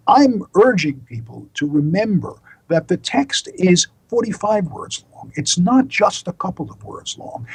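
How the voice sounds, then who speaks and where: An older man with an American accent, explaining as an interview guest in a deliberate, emphatic, professorial way. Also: sits high, a little mellow, breathy edge. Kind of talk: explanatory interview talk, lecturing.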